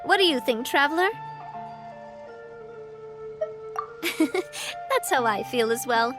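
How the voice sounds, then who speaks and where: An actress voicing a kind, earnest young woman in a video game, speaking in a gentle, thoughtful manner. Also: high-pitched, expressive, normal speed, over music. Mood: amused, relaxed. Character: kind, earnest young woman leader, cheerful yet reflective. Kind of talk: animated game voice acting.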